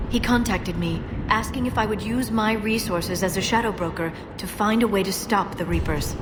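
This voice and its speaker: A woman playing an earnest, soft-spoken alien scientist in a video game, measured and precise but full of self-doubt. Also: fairly low pitch, background noise, lightly acted. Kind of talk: dramatic video game voice acting.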